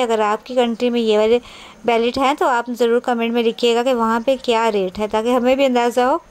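Female shopping vlogger, Pakistani accent, higher-pitched and clear, casual rambling voice-over.

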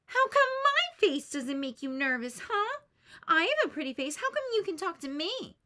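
A bossy, hot-tempered girl protests loudly in a high voice, as a woman reads her aloud. She sounds indignant and demanding, a picture-book character. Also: very animated, moderate pace, full character voice. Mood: furious. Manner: indignant, loud.

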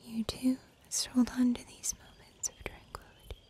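A young woman playing a gentle mystical healer in an ASMR fantasy roleplay, whispering slowly, breathily and tenderly. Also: a little high, completely flat delivery, close to an everyday voice. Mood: tender, comforting. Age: young adult. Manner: slow, soothing, tender. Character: gentle mystical female healer comforting a weary wanderer. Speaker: ASMR performer.

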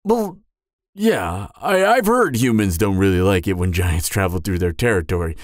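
Man voicing a sheepish giant with swooping pitch. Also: animated, moderate pace, no room sound, studio quality. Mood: sheepish, defensive. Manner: hesitant, awkward, rambling, apologetic. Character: sheepish, chatty, friendly young male giant. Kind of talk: ASMR roleplay monologue.

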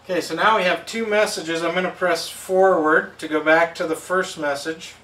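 A middle-aged man with a slightly high voice explains things step by step as a product demonstrator. His delivery is casual and plain, picked up from a distance in an echoey room with some background noise. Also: fairly even intonation, moderate pace, pushed and bright. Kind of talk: casual instructional electronics demo. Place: indoor tabletop demo setup with backdrop.

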